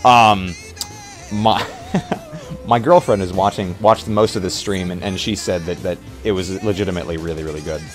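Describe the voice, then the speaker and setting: A man's voice: deep voice, fairly even intonation, moderate pace. A man chatting casually on a livestream, rambling and hesitant, often repeating his phrases. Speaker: streamer and roleplay player. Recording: music playing behind. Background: music.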